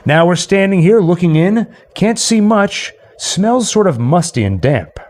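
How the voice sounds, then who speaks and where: A man's voice: high-pitched, lively intonation, measured pace, noisy background, voice pushed up. A man playing a jaunty, skeptical radio DJ who narrates a haunted house visit in a chatty, wry radio-play style. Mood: wry narrating. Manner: chatty, wry, addressing the listeners.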